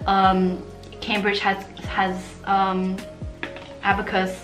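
A young woman from Portugal talks straight to the camera in a loose, chatty way, animated and quick to gesture. Her voice sits at an everyday middle pitch. The small room around her rings a little, with soft music under the talk. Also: roomy sound, low-quality recording. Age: young adult. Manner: chatty, animated, gestures with hands while talking. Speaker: vlogger. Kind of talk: casual talking-head vlog.

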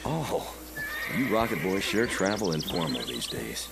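An actor playing a friendly, chatty small-town neighbor in a radio drama, with a folksy manner. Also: male voice, low voice, very animated, a little slow, full character voice. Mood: cheerful, curious. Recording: classical music playing behind the voice.

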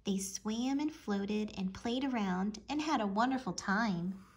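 A woman reading a children's storybook aloud with expressive, deliberate storytelling. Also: medium pitch. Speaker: storybook reader. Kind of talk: children's read-aloud narration.

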